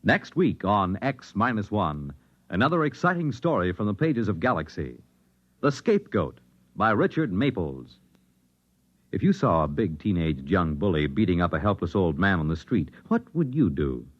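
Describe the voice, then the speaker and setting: A man's voice: sits low, big pitch swings, normal speed. An American man announcing in a formal, dramatic 1950s network radio style, reading from a script. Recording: dull vintage radio broadcast, faint music.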